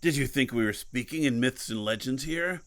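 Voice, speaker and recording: A man playing a secretive, commanding, guilt-burdened mentor in an audio drama, speaking deliberately and cryptically. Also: mid-range voice, a bit bright, natural voice. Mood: grave, explanatory. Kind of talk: audio fiction podcast acting.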